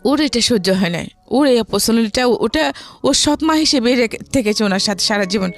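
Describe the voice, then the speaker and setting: A woman's voice: a little high, lively intonation, moderate pace, soft background music. A woman with a Bangladeshi accent, a guest recounting her own life story in conversation.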